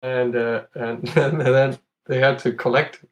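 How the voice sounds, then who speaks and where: A man's voice: mid-range voice, fairly even intonation, measured pace. A man from Iceland chatting casually as a podcast guest, telling stories in a conversational way. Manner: chuckles often while talking. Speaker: podcast guest, competitive retro game player.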